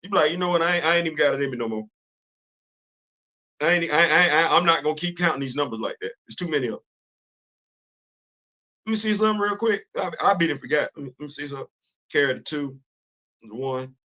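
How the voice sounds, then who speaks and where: A man in his fifties holds forth on a casual livestream, animated and preachy, circling back over his words with restarts and repetitions. His voice sits a bit high for a man, and he speaks into a phone. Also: quick pace, long pauses. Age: 50s. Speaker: host of a commentary livestream.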